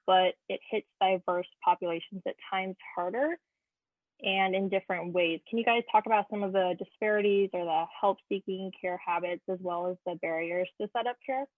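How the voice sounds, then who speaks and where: A woman's voice: medium pitch, no echo. A woman hosting a podcast, moderating a panel in a conversational style. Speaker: podcast host. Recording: thin, phone-like call audio.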